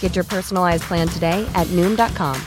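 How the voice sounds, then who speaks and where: A woman's voice: lowish voice, fairly even intonation, fairly quick. A woman announcer reading scripted advertising copy. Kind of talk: scripted ad read. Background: music.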